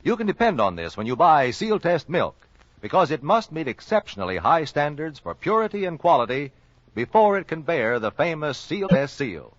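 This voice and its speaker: Lively male announcer on vintage radio. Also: on the high side, moderate pace, dry recording. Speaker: commercial announcer. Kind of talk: 1940s radio ad read. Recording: dry, dull old radio recording.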